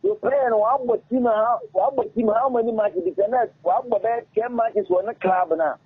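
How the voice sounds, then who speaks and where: A middle-aged man with a Ghanaian accent, an interview guest speaking in a halting, repetitive way and mixing languages mid-sentence, in a radio studio. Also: extremely high pitch, breathy edge, dry recording. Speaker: interview guest, former footballer. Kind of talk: casual interview talk. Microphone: studio microphone on arm. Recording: muffled phone-like audio.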